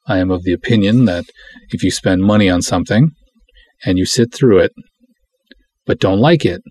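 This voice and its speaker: Male audiocast host, lowish husky voice, dry close recording; wry conversational delivery with mock-dramatic readings. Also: darkish tone, no echo. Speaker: host, film director. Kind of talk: solo audiocast monologue, reading reviews aloud with commentary.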